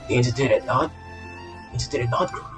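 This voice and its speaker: A woman's voice: a bass voice, quick pace. A woman game streamer giving casual let's play commentary, playfully repeating phrases over game music. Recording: loud game music behind.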